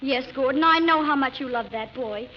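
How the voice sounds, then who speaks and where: A woman's voice: high-pitched, normal speed, natural voice. A woman playing an exuberant, vain stage actress in a 1940s radio comedy, theatrical and gushing. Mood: excited, wry. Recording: muffled hissy 1940s radio broadcast.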